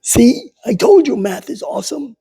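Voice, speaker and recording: A man voicing an upbeat, encouraging cartoon cat schoolboy for a storybook, in a cheerful, coaxing high voice. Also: sing-song, moderate pace, dark tone, slightly rough, heavily acted.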